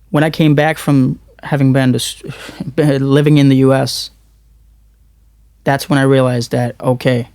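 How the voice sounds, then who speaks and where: A young man from Norway, a musician guest, talking thoughtfully in a casual interview, often pausing and restarting his words. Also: mid-range voice, darkish tone, no echo, studio quality.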